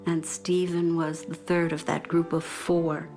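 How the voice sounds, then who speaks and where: An older woman speaking in a measured, deliberate and plain-spoken way in a reflective documentary interview. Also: low-pitched, flat delivery, over music. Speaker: bereaved mother giving testimony.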